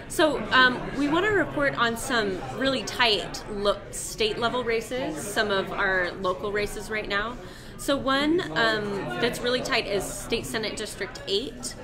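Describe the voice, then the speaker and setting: A woman in her twenties co-hosting a live election night stream, explaining politics in a relaxed, chatty way. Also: a little high, unhurried, over music. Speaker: co-host. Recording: noisy room with crowd chatter.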